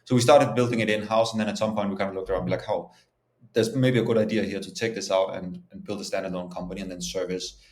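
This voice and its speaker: A man's voice: on the low side, fairly even intonation, fast talker. A man from Denmark speaking informally and explaining things as a guest in an interview. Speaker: startup founder and CEO, interview guest. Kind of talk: conversational interview answers. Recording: echoey, roomy sound.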